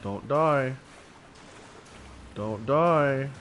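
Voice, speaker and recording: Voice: medium pitch, extremely slow, a bit bright, a little hiss or hum. A streamer giving casual, terse live gameplay commentary, offhand and given to swearing.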